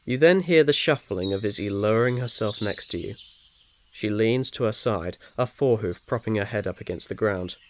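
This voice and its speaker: A man's voice: fairly low pitch, normal speed. A man reading scripted second-person story narration in an ASMR style. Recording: faint noise with birdsong behind.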